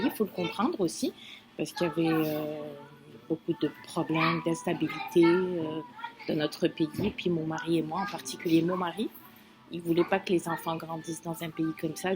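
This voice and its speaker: A woman, answering interview questions in an earnest, hesitant way with many pauses and fillers. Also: low-pitched, rough recording. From Algeria. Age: 50s.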